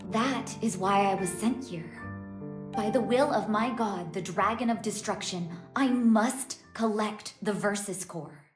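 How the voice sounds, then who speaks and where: A woman's voice: mid-range voice, unhurried, close to an everyday voice. An actress voicing a young-looking priestess of a dragon god, a long-lost doting mother, in an English game dub. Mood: solemn, explanatory. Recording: echoing voice under prominent music. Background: guitar and instrumental music.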